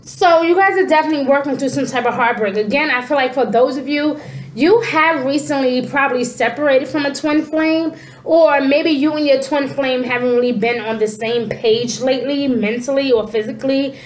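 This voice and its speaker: Congested woman in her forties, high-pitched, casual reader to camera in a roomy-sounding small room. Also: moderate pace. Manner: animated hand gestures, frequent "you know" and "okay". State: congested, stuffy nose. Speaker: tarot reader. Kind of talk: casual spiritual reading to camera. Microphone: camera at desk distance. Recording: echoey room.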